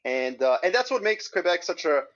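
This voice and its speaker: A man from Serbia, a guest on an interview podcast, talking in an upbeat, animated storytelling way. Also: high voice, fairly quick, lo-fi sound. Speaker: guest, investment strategist. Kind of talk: casual interview chat. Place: remote, in Switzerland.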